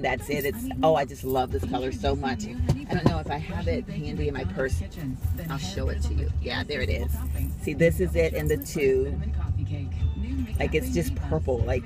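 A middle-aged woman chatting casually to a dashboard phone camera from her car, rambling with frequent ums and restarts. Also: sits low. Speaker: beauty vlogger. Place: inside a car while driving to work. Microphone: phone camera mounted on the dashboard. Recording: loud car cabin noise. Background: car road noise and radio.

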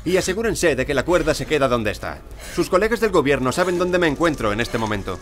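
A male actor playing a self-assured, courteous gentleman archaeologist in an audio drama, formal and precise. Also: a little high, big pitch swings, fast. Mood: coolly commanding. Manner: formal, polite, unruffled, precise. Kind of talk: audio drama acting and first-person narration. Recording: music behind the voice.

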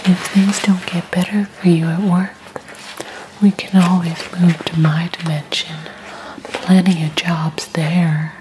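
A woman playing a sweet, soothing alien housewife in a breathy, slow ASMR whisper. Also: lowish voice, little pitch movement, noisy background, lightly acted. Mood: reassuring. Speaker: ASMR role-player.

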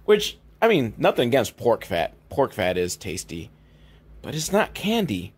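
A man's voice: mid-range voice, huge pitch swings, measured pace, breathy edge. Rambling, sweary male podcast host. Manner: rambling, sweary, mock-exasperated. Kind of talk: casual comedic film recap commentary.

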